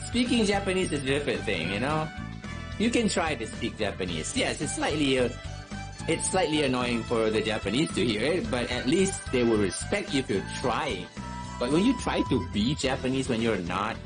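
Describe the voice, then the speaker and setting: A man's voice: medium pitch, sing-song, moderate pace. A man giving casual, opinionated commentary over a game playthrough, repeating words for emphasis. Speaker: gaming channel host. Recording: game music behind the commentary.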